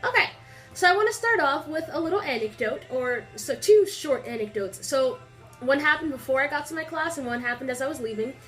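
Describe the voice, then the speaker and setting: A woman's voice: high voice, fairly quick, soft background music, low-quality recording. Young woman vlogging with a stuffy nose. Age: young adult. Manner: rambling, filler-heavy, animated storytelling. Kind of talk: casual chatty vlog. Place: small indoor room.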